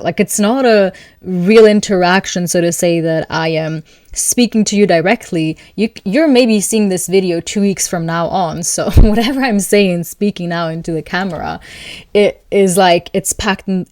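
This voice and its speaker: A woman hosting an astrology video, talking calmly and thoughtfully to camera, with soft laughs between thoughts. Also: medium pitch, dry recording, clean studio sound. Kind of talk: casual spoken reflection to camera.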